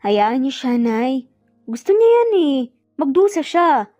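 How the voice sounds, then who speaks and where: A woman's voice: high-pitched, sing-song, takes it slowly, warm tone, no room sound, heavily acted. A woman voicing a stubborn, hurt young wife with a curt manner in an audio romance. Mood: dismissive. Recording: muffled lo-fi audio, music bed behind.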